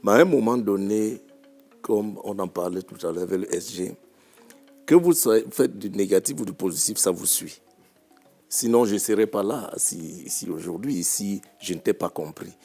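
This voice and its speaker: A man's voice: on the low side, normal speed, a little mellow, soft background music. A man from Cameroon, a musician guest on a panel talk show, speaking reflectively and repeating his phrases.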